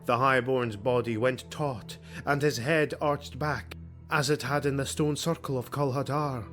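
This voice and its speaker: A man's voice: medium pitch, steady tone, unhurried, a bit bright. A man narrating a fantasy audiobook in a grave, theatrical, dramatic scripted style. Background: music.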